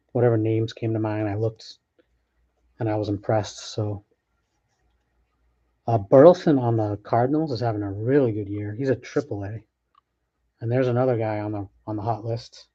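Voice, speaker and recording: A man's voice: lowish voice, steady tone, moderate pace, mellow, lo-fi sound. A man hosting a sports card break, talking casually and thinking aloud as he opens packs. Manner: chatty, offhand, thinks aloud with restarts. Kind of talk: casual live commentary while opening packs.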